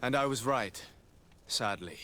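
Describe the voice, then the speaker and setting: An earnest, formal noble diplomat. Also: male voice, mid-range voice, a little slow, bright, forceful tone. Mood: grave, urgent. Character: earnest, courteous young noble diplomat, burdened by family betrayal. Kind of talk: video game cutscene dialogue acting.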